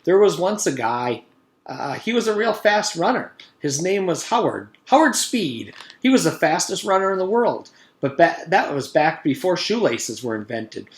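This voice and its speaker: A man voicing an eager, boastful schoolboy who tells tall tales in an animated, earnest way, as in a storybook reading. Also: on the high side, normal speed, roomy sound, pitched above the performer's own voice. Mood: excited, boastful. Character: eager schoolboy telling an excited, gory tall tale.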